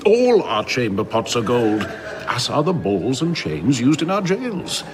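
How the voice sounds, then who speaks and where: An actor playing a proud, mocking citizen of an ideal island in an audio drama, speaking with scornful amusement. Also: male voice, mid-range voice, huge pitch swings, measured pace, noisy background. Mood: wry amusement.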